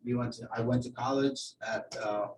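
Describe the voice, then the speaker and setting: Male guest, from Ethiopia, hesitant sales pitch, distant echoey room mic, faint hum. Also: fairly even intonation, normal speed. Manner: hesitant, many fillers. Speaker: guest photographer. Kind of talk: informal self-introduction and pitch. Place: office meeting room. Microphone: room camera mic from a distance.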